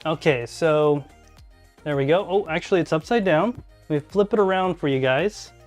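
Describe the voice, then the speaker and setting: A man's voice: moderate pace, soft background music. Chatty man hosting a tech live stream. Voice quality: deep voice. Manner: chatty, reads chat aloud, thinks out loud. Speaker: podcast host live streaming. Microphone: desk mic, level adjusted mid-stream.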